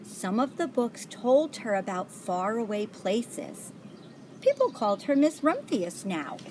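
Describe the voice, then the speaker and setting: A woman reading a picture book aloud to children in a deliberate way, like a volunteer storyteller. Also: a little high, sing-song, a little mellow, a little hiss or hum. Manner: deliberate, pauses to point out pictures.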